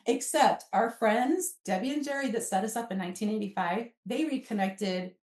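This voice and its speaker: A woman's voice: a little high, normal speed, darkish tone. An American woman in her fifties telling a personal story as a podcast guest, rambling along and acting out snatches of dialogue. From Alaska, United States. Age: 50s. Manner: rambling, quotes dialogue as she goes. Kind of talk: animated personal storytelling in a conversation. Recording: echoey room, distant mic.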